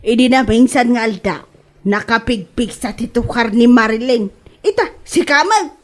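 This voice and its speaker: A woman's voice: fairly high pitch, animated, moderate pace, close to an everyday voice. A woman playing an inquisitive older neighbourhood auntie in a comedy audio drama.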